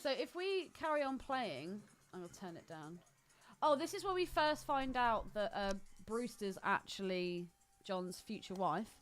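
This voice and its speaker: A woman's voice: medium pitch, sing-song, unhurried, faint music behind. A woman co-hosting a podcast, chatting casually about a film in a blunt, sceptical way. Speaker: podcast co-host.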